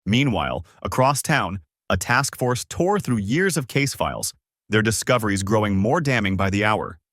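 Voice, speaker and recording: A man with a fairly low voice reads a dramatic story in a very dry, close recording. His delivery is solemn and builds suspense, like a scripted narrator telling a tense tale. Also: sing-song, normal speed, fairly bright tone, no echo.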